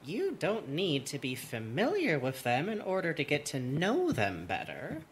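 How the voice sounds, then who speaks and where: A man acting as a fussy cartoon gardener who knows his bugs. His delivery is prim and dry, with lively swings in pitch. Faint noise sits behind the voice. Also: unhurried. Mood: dryly amused. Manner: prim, sardonic, precise wording. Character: fussy, dry-witted male puppet gardener and bug expert.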